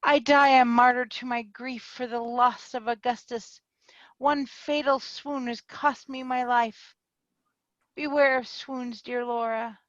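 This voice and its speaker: A woman's voice: high voice, dry recording. A woman reading the part of a dying young woman giving a melodramatic, solemn deathbed warning over a video call. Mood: fervent. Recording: dull lo-fi video call audio.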